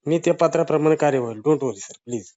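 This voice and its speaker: A man's voice: on the high side, quick pace, warm tone. A middle-aged man with a Marathwada Marathi accent, speaking on the phone in a deferential, pleading and placating way. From Jalna district, Maharashtra, India. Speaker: government official. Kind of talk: phone conversation. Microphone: phone call recording. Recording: muffled phone call recording.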